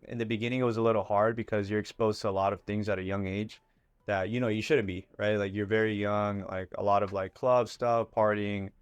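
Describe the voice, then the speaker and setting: A man in his twenties tells his story in an easy, rambling way, dropping fillers as he goes. He grew up in Miami after leaving Ecuador. His voice sits low and sounds dry and close to the mic. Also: no echo. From Ecuador, raised in Miami. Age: 20s. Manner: relaxed, loose, many fillers. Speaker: guest entrepreneur. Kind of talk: casual interview talk.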